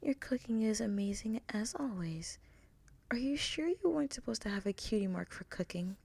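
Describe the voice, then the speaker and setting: Woman voicing a soft, gentle, guilt-ridden bat pony girl. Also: fairly high pitch, animated, moderate pace, gravelly, husky, dry recording, exaggerated voice. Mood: affectionate. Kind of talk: fan fiction story reading.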